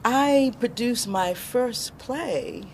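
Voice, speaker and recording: An American woman in her sixties answering interview questions in an expressive, emphatic storytelling way. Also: a little high, very slow, a little hiss or hum, rough recording. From born in Alabama, raised in Chicago, USA. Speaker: interview guest, filmmaker.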